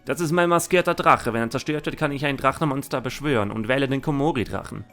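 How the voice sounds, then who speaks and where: A man's voice: mid-range voice, fast, fairly bright tone, faint music behind, natural voice. A man playing a confident, defiant young duelist with a dragon deck in an anime fan audio drama.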